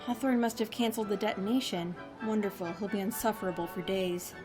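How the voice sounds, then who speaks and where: A woman's voice: mid-range voice, quick pace, loud music behind the voice, close to an everyday voice. A woman playing an anxious but plucky heroine with an uneasy, questioning manner. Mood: bewildered.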